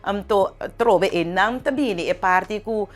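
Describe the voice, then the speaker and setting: A woman hosting a talk show, often pausing and searching for her words. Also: mid-range voice, faint music behind. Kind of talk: studio talk show discussion.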